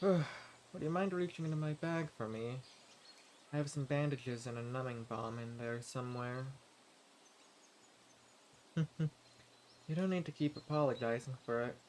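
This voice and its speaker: A mild, level-headed male adventurer, acted by a man in an audio roleplay, with a mid-pitched voice. He speaks gently and haltingly, straight to the listener, over faint forest ambience. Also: a little hiss or hum, close to an everyday voice.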